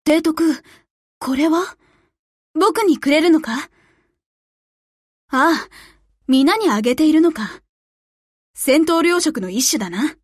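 A woman voicing a tomboyish, earnest young warship girl in an anime game, crisp and stoic in manner. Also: high-pitched, expressive, takes it slowly, long pauses, no room sound, clean studio sound. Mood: composed, grateful.

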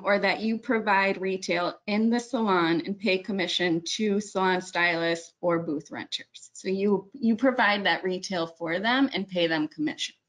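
A woman's voice: medium pitch, a bit bright. A woman walking viewers through a website in a webinar, chatty and correcting herself often. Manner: chatty, self-correcting, many ums. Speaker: webinar presenter. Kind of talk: screen-share webinar walkthrough.

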